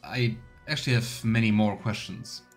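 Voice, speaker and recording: A man's voice: on the low side, fairly even intonation, moderate pace, soft background music. A man streaming a video game with casual, musing commentary, thinking aloud as he plays. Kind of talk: casual let's play commentary.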